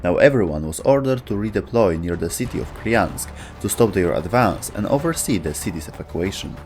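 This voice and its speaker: A man's voice: deep voice, animated, a little fast, darkish tone. A man narrating a scripted video essay about game lore. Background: music.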